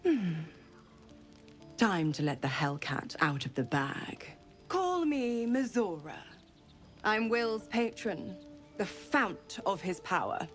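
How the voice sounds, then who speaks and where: A woman's voice: medium pitch, very animated, takes it slowly, faint music behind. An actress playing a smug devil patron in a video game, mocking and theatrical in her condescension. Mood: gloating, teasing. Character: taunting devil woman, a smug infernal patron. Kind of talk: dramatic video game voice acting.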